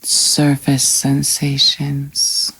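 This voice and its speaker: Very slow, low-pitched woman guiding meditation. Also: breathy edge, low-quality recording. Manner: calm, soothing, unhurried with long pauses. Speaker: meditation guide. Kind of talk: scripted guided yoga nidra meditation.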